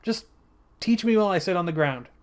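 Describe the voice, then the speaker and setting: A man's voice: high-pitched, animated, a little fast, voice pushed up. A man voicing a stubborn, sarcastic teenage girl who complains bluntly in a story reading. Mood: stubborn protest.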